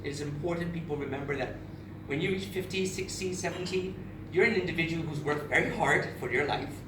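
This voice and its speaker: Thirtysomething guy from barbados giving a heartfelt talk, kinda high voice, echoey room picked up from far off, noisy. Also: brisk. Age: 30s. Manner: candid and emotional, with frequent restarts. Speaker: speaker at a charity event. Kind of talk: personal speech to an audience. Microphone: far camera.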